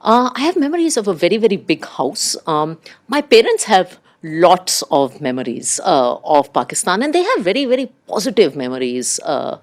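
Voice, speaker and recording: Animated Indian woman with a lowish voice. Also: normal speed, few pauses. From India, raised abroad in diplomatic postings. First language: Urdu. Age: middle-aged. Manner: animated, anecdotal, acts out quoted remarks. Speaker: interview guest. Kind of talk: conversational personal storytelling.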